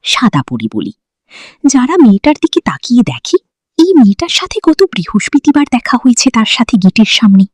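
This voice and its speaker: Female storyteller, highly animated intonation, dry close sound, expressive romantic fiction narration. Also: normal speed, no echo.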